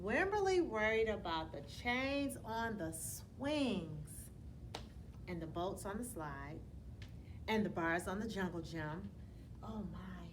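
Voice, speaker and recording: A woman reading a children's bedtime story aloud in a teacherly, expressive way, putting questions to her young listeners as she goes. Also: on the high side, a little hiss or hum, poor audio. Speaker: elementary school storyteller reading to students.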